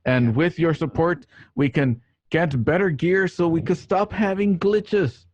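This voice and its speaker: Halting male host, dry and lo-fi. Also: fairly high pitch, a little mellow, no room sound. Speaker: host and scene narrator. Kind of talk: reading stage directions, hosting an online radio play. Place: home, remote online recording.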